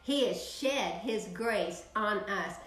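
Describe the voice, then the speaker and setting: Animated Texan woman preaching. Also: medium pitch, slow, roomy sound. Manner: animated, preaching with sweeping hand gestures. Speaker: minister. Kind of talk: prayer broadcast sermon. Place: home office, indoors.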